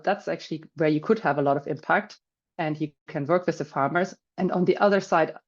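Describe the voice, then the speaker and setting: A woman's voice: fairly low pitch, darkish tone, muffled recording. A middle-aged woman with a German accent, giving a casual webinar talk with plenty of fillers and restarts over a video call. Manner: chatty, many fillers and restarts. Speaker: webinar speaker. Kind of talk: casual presentation.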